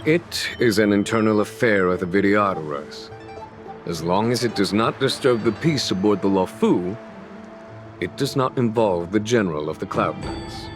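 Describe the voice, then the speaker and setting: A man voicing a wise, composed general in a video game, with a measured, formal, diplomatic delivery. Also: fairly low pitch, lively intonation, natural voice. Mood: measured, advising. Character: composed, wise male general, courteous and wry. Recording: music behind the voice.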